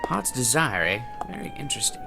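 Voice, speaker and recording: A man's voice: medium pitch, big pitch swings, fairly quick, gravelly. An actor playing a fussy, self-important male office worker with a pompous, bookish, enunciated way of talking in a television drama. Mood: intrigued, scheming. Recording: background music under dramatic dialogue.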